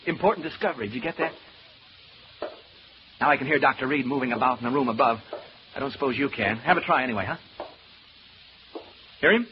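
An actor playing a nervous, rambling radio broadcaster alone in a haunted house, stammering through asides to listeners in an old radio horror play. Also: male voice, medium pitch, brisk, pauses often, natural voice. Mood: jumpy. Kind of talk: old-time radio horror drama acting. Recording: muffled old radio recording, background hiss.

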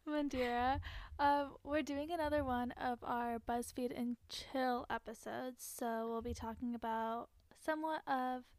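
A woman's voice: sits high, steady tone, unhurried, no echo. A young woman co-hosting a podcast, talking in a chatty, giggly way with lots of fillers. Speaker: podcast co-host. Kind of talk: casual chat.

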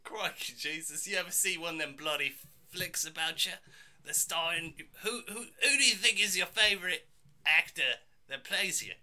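A rowdy Australian showman, put on by a man in a comedy podcast bit, with a loose, bawdy, slang-heavy delivery. The voice is very bright and sharp and sits a little high. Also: moderate pace. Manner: bawdy, joking, slangy, laughing often.

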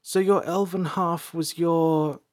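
A man's voice: a little high, steady tone, takes it slowly, a little mellow, pitched above the performer's own voice. A man voicing a sheepish, naive young runaway princess, earnest and inquisitive, in a fantasy audiobook. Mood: hesitant. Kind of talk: audiobook character dialogue.

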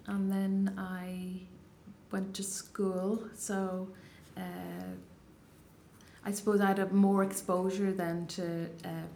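A woman's voice: medium pitch, gravelly, slight background noise. A woman in her thirties with a Donegal Irish accent, answering interview questions in a thoughtful, hesitant way with many restarts. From Ireland, Donegal Gaeltacht. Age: 30s. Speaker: poet, interview guest.

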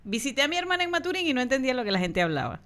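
A woman from Venezuela with a Venezuelan accent, co-hosting a casual chat and telling animated, laughing stories. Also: high voice, rapid-fire. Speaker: co-host.

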